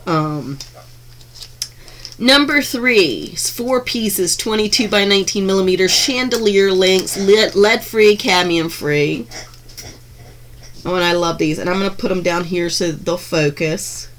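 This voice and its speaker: A woman chatting through a craft unboxing in her home craft room, gushing over each piece with frequent ums and restarts, her voice a little hoarse from being under the weather. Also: fairly low pitch, a little slow, a bit bright, a little hiss or hum. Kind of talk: casual unboxing narration.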